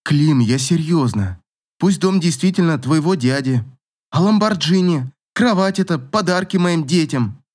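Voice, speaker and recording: Man voicing an insistent, challenging young mother, slightly raised pitch. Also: lively intonation, unhurried, a little mellow. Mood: serious, pressing. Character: young single mother, insistent, questioning, sharp-witted. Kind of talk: audiobook romance dialogue.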